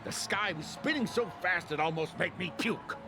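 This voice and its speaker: A man's voice: sits high, normal speed, natural voice. An actor playing a jaded, grumbling older man in a video game, with a cynical, dismissive drawl. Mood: jaded, scornful. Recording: music under the voice.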